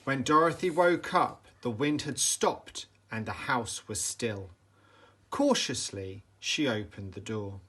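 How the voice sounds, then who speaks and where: A man reads a bedtime story to young children. His delivery is slow and very lively, with dramatic emphasis and a bright, forceful tone. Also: medium pitch, slightly rough. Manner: animated, dramatic, deliberate emphasis for young listeners. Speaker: storybook reader, teacher.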